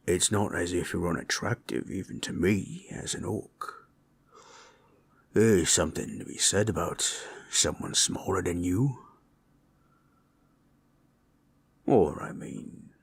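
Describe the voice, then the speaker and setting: A big, gentle orc ex-war boss, acted by a man, speaks in a deep and husky voice. He takes his time, murmuring reassurance in folksy, rough grammar. The delivery is intimate and soothing, as in a comfort roleplay spoken straight to the listener. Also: exaggerated voice. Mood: tender, teasing.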